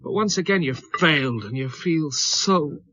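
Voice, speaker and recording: A man's voice: a little high, lively intonation, measured pace, bright, forceful tone, no room sound, dull audio, full character voice. An actor playing a self-pitying, drunken widowed father in a radio drama, despairing and apologetic, with slurred, maudlin delivery.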